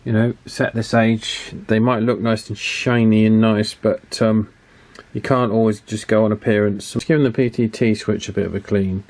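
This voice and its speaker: A man with a somewhat low voice talks through a repair at his workbench. He thinks aloud in an easy, casual way, breaking off and restarting his sentences. He sounds like he is speaking into a camera mic in a home workshop. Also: little pitch movement, normal speed, darkish tone. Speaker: radio repair hobbyist.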